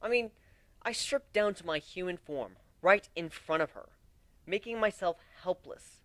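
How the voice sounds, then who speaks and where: A man's voice: high-pitched, measured pace, fairly bright tone, dry recording, voice pushed up. A man voicing a hot-headed, sulky young griffin in a dramatic story reading, sarcastic and informal. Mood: indignant, sulky. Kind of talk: dramatic reading of fan fiction.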